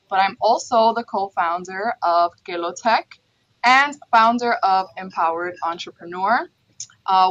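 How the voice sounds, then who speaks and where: An upbeat woman moderating an online panel, often checking in with a quick "right?". Her voice comes over a dull, dry video call line with little room sound. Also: medium pitch, a bit bright, no room sound. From Dominican-American, in New York. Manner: upbeat, repeats "right?" for emphasis.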